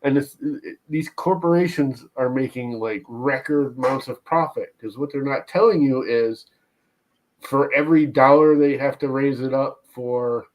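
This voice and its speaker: A man's voice: medium pitch, normal speed, rough recording. A man in a casual group call, ranting animatedly and mocking how corporations talk.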